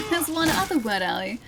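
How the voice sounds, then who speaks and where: A woman's voice: fairly high pitch, creaky, very noisy. A young woman from Australia streaming a video game, chatting casually and giggling as she talks to her viewers. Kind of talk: casual live-stream commentary.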